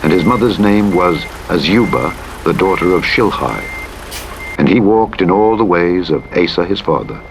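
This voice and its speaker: A man narrates scripture in a solemn, formal style, with measured and dramatic delivery. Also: sits low, animated. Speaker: audiobook Bible narrator. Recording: loud truck engine noise over voice. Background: truck engine and vehicle sounds from driving simulator game.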